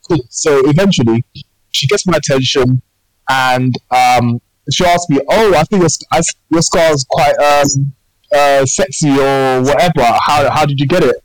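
A man's voice: medium pitch, no room sound, low-quality recording. A man from England, telling a story on a casual podcast chat, full of fillers and repeated phrases. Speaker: podcast co-host.

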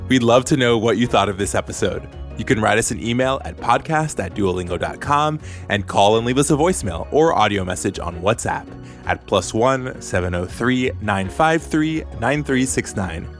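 A man narrates a podcast story in a measured, even way. His voice sits a little low. Soft music plays faintly behind him. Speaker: podcast host. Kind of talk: scripted narration.

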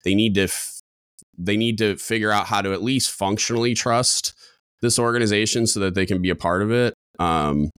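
A man co-hosting a podcast in casual discussion, with a deep booming voice, talking loud and coming on strong, with many restarts and fillers. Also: normal speed, clean tone, no room sound, studio quality. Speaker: podcast co-host.

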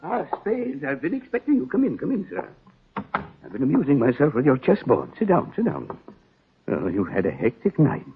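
A courtly, sly crime boss in vintage radio style. His pitch swoops wildly through florid, over-polite phrases with pompous relish. The recording is noisy and muffled. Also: wildly swooping pitch, moderate pace. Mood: genial, sly. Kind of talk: 1940s radio acting.

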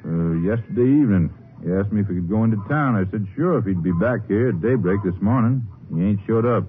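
Casting: man as folksy grumbling rancher, deep Western American voice, tinny noisy fifties radio recording. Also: animated, fairly quick, natural voice. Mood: folksy, matter-of-fact. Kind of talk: 1950s radio western acting.

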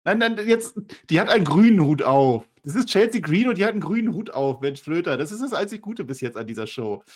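A man with a husky voice gives casual, opinionated takes as a wrestling review co-host. He talks quickly with a very animated pitch and drops joking, ironic asides.

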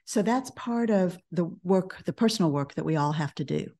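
Middle-aged woman guest, calm measured coach, mellow low voice. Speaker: guest, business coach and author. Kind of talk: podcast interview.

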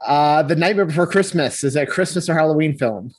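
Higher-voiced man hosting a casual podcast. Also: expressive, a little fast. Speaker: podcast host. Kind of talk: casual chat.

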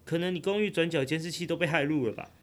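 A composed, slyly playful insurance agent in a radio drama, voiced by a man. His is relaxed, and he speaks with unbothered calm. There is a teasing smugness under every polite phrase. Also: on the high side, fast talker, lightly acted. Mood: calm, unsettling. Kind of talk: audio drama acting.

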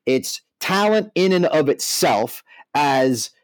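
A man's voice: on the high side, animated, slow, no echo. A man co-hosting a podcast, explaining things conversationally and often checking that the listener follows. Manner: explanatory, checks in with "right?" and "you know". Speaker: podcast co-host. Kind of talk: casual discussion.

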